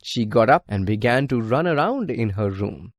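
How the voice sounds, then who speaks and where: A man's voice: medium pitch, animated, normal speed, no room sound, clean studio sound. A man reading a scripted bedtime story for children as its narrator.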